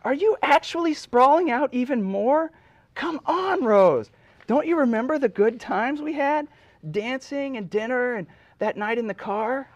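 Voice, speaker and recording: A man's voice: very high voice, lively intonation, a little slow, no echo, lo-fi sound, pitched above the performer's own voice. A man playing an earnest young man in icy water, pleading in a parody dramatic reading. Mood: exasperated, begging.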